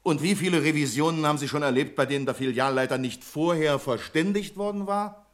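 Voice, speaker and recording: A man's voice: on the high side, brisk, close to an everyday voice. A man acting in a German radio play as an exasperated, sarcastic bank branch manager, with indignant, dramatic emphasis.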